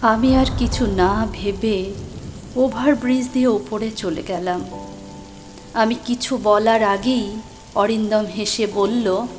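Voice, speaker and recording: A woman's voice: medium pitch, fairly even intonation, darkish tone, close to an everyday voice. A woman playing an anxious young woman who recounts an eerie night in an emotive, suspenseful audio drama. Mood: uneasy, bewildered. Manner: emotive, suspenseful, drawn-out delivery. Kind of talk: dramatic scripted first-person story narration. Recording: music behind the voice.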